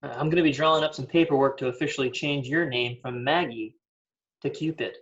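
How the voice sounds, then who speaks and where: A man in a sitcom table read playing an awkward, self-satisfied boss with a halting, casual delivery. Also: medium pitch, lightly acted. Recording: slightly muffled, dull audio.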